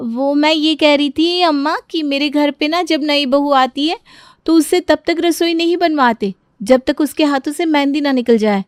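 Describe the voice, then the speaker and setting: A woman's voice: sits high, a little slow, no echo, studio quality. A woman voicing a timid, evasive young bride in a cartoon story. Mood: sheepish.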